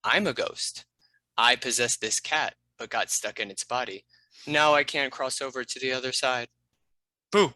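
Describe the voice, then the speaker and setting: Bright, cheeky ghost-possessed talking cat, voiced by a man. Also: mid-range voice, steady tone, normal speed, dry recording. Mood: boastful, spooky. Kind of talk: animated comedy script table read.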